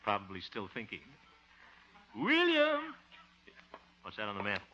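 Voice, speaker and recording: A chuckling, sentimental good-hearted fellow. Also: male voice, fairly low pitch, wildly swooping pitch. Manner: sentimental, chuckling, drawn-out delivery. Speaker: lead comic actor and show host. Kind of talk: 1950 radio sitcom acting. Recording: muffled noisy 1950 radio broadcast.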